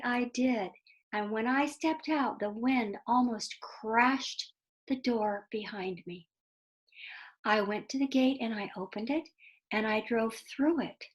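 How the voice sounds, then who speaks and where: Woman, high-pitched, slow and deliberate, children's storyteller, explanatory read-aloud. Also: a bit bright, low-quality recording. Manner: deliberate, explanatory, addressing young listeners.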